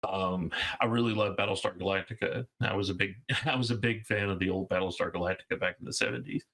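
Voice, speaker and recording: A man's voice: deep voice, steady tone, quick pace, fairly bright tone. A man of fifty from Georgia, chatting casually as an interview guest, rambling with fillers and restarts. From Georgia, USA. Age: 50s. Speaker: guest game designer.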